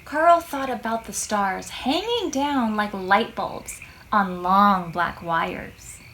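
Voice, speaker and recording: A woman reading a picture book aloud to preschoolers as a storytime host, expressive, drawing out words and putting questions to her young listeners. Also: mid-range voice, takes it slowly, slight background noise, rough recording.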